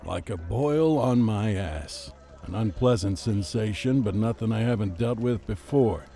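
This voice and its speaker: A man playing a world-weary veteran police detective in a noir video game, dry, sardonic and deadpan. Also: lowish voice, unhurried.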